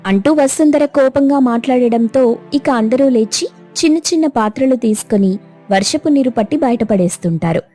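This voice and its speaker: High-voiced woman narrating a cartoon. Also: moderate pace, faint music behind. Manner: steady, plain storytelling. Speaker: storyteller narrator.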